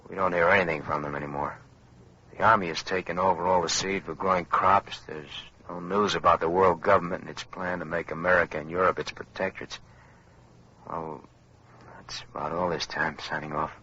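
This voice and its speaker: An actor playing a sober radio operator relaying grim bulletins in an old radio drama. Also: male voice, deep voice, fairly even intonation, fairly quick, sharp tone, husky, exaggerated voice. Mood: grim, measured. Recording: dull, faintly noisy old radio transmission.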